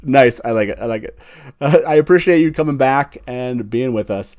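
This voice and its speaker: A man's voice: mid-range voice, moderate pace, darkish tone. A man hosting a live fan talk show in a casual, enthusiastic and emphatic way.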